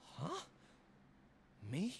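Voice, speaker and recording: A man's voice: very slow, background noise. A man voicing a hesitant, apologetic, troubled young student in a video game. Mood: distressed.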